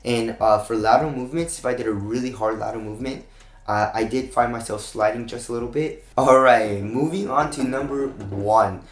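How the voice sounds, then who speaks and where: A man hosting a casual shoe review, talking straight to his viewers with a voice still slightly hoarse from a cold. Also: mid-range voice, brisk, echoey room. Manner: chatty, addresses viewers directly as "you guys". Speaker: shoe review channel host.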